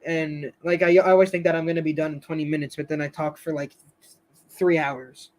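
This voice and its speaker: A man hosting a casual live stream, chatting with viewers in a rambling, hesitant way full of fillers and restarts, his voice going a bit hoarse. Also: fairly high pitch, little pitch movement, fairly quick, darkish tone. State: slightly hoarse, losing his voice.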